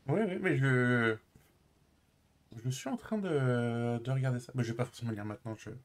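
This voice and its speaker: A man's voice: medium pitch, close to an everyday voice. Hesitant, reserved Frenchman, played by a man. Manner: hesitant, trailing off, halting. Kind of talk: improvised in-character roleplay chat.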